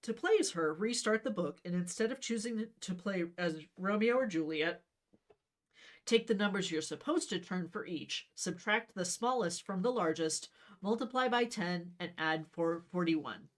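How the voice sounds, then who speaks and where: A woman's voice: on the low side, animated, normal speed. A woman reading a story aloud on a livestream in a wry, conversational way, mixed with casual chat.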